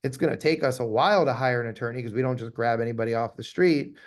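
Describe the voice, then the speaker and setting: A man's voice: mid-range voice, fast. A man speaking conversationally as an interviewed law firm owner, emphatic and often repeating his key phrases.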